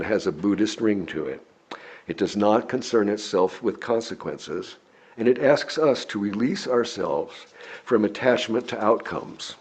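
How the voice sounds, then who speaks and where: Husky American man reading a sermon. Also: on the low side, darkish tone. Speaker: lay speaker reading a sermon. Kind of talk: sermon read from a script.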